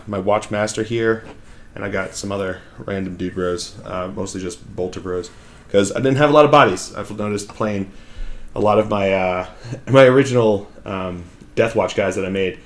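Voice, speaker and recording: A man with a raspy voice hosting his own hobby channel, chatting casually from his home hobby room into a desk microphone. He rambles, with many fillers and restarts. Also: on the low side. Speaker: channel host. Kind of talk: casual hobby vlog talk while painting. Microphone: new desk microphone.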